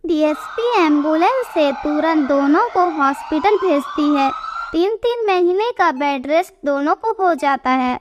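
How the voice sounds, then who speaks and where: A woman tells a scripted cartoon moral tale as its narrator. Her voice is very high and youthful in pitch, carrying the story in the third person. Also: lively intonation, unhurried, background noise.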